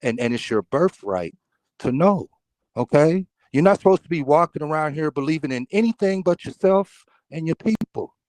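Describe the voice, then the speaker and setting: A man's voice: on the high side, expressive, moderate pace, a little mellow, dry recording. A man speaking in a live group discussion, preachy and emphatic, often tagging "okay?". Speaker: participant.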